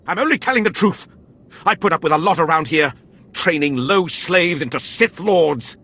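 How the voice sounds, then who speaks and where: An actor playing a haughty, scornful Sith academy overseer in a video game, contemptuous and theatrical. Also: male voice, on the high side, animated, normal speed, bright, forceful tone, breathy edge, heavily acted. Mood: indignant.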